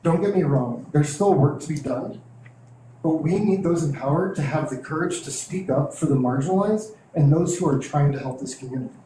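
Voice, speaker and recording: A man's voice: a little high, dark tone. A middle-aged man, a teachers' union leader, earnestly reading a prepared statement at a school board meeting. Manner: earnest, measured, heartfelt. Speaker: teachers' union president and public commenter. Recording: echoey meeting room, faint hiss.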